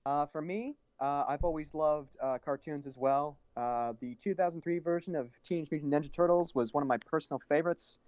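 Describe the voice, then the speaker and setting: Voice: mid-range voice, fairly quick, hardly any pauses, a little mellow, no room sound. A young adult voice moderating a panel, calling on each panelist by name in casual chat.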